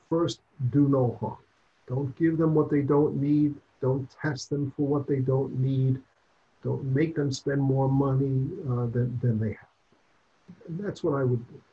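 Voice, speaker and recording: A man's voice: mid-range voice, muffled recording. An older man from Cuba, a clinician answering interview questions in an earnest way and repeating points for emphasis. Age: 60s or older.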